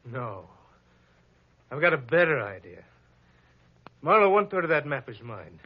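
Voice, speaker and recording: A man's voice: on the high side, sing-song, normal speed. An actor playing a bewildered, betrayed man in a 1940s radio drama, speaking in an emotional, shaken way. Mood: bitter. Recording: dull old radio broadcast recording.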